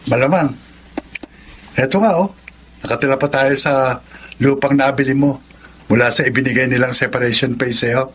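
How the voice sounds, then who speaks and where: A man's voice: mid-range voice, steady tone, moderate pace, long pauses, fairly bright tone, a little hiss or hum, lightly acted. A man with a Filipino accent playing a caring, teasing son in his thirties in a radio drama, with casual delivery. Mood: matter-of-fact. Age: 30s. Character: teasing, caring thirty-five-year-old son of an elderly mother.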